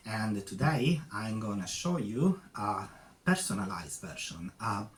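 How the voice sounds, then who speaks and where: Chatty Italian-accented man, low voice. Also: big pitch swings, unhurried, slight background noise. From Sicily, Italy. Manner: chatty, rambling with many fillers and restarts. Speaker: cooking channel host. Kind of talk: casual cooking tutorial.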